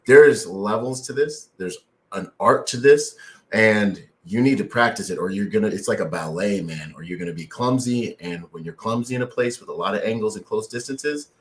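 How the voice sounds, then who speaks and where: A male podcast host with a fairly low voice, chatting in an easygoing way. He leans on slang and keeps nodding along with short fillers as his guest talks. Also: normal speed. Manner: laid-back slang, lots of agreeing fillers. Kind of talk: casual podcast interview.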